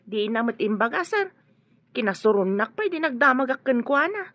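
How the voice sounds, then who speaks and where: A flustered, deferential maid in a radio drama, with an Ilocano accent. Her high voice rises and falls expressively, plaintive and hesitant, on dull audio with some noise. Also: sing-song, normal speed, dry recording. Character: flustered, deferential housemaid complaining of her spoiled young mistress. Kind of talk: Filipino radio drama acting.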